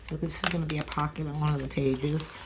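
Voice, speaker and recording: An older woman hosting a craft tutorial, chatting and thinking aloud as she works, sounding a little stuffy and hoarse from a cold. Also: sits low, steady tone, fast. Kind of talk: relaxed craft demonstration. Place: craft table at home, indoors. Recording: audible crinkling craft noise.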